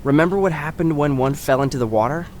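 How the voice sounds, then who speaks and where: A man's voice: fairly high pitch, fast, darkish tone, slight background noise, natural voice. Earnest, lighter-voiced man played by an actor. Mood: grave, firm. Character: earnest, assured male guide to a fantasy land. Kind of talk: audio drama acting.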